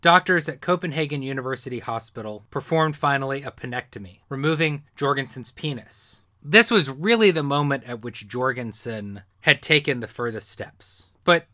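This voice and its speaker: A man's voice: medium pitch, lively intonation, normal speed, few pauses, sharp tone, no echo, studio quality. A man presenting a history talk in a semi-improvised storytelling style, explaining with emphatic phrasing.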